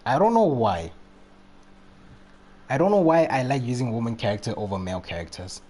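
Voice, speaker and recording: A man's voice: mid-range voice, very animated, fairly quick, dark tone. A man doing casual live game commentary and chatting with viewers in a playful, rambling, reactive way. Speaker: game livestreamer.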